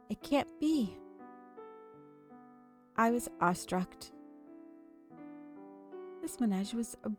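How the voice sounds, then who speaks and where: A woman narrates a visual novel with dramatic, drawn-out phrasing. Her voice is mellow and relaxed at a middle pitch. Soft game music plays behind her. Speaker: streamer reading a visual novel aloud. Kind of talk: expressive story read-aloud for a gameplay video.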